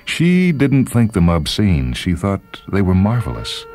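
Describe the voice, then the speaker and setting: A man reading literary short fiction as a radio host, in a measured, dramatic storytelling manner. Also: low-pitched, huge pitch swings. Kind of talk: literary scripted narration. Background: background music.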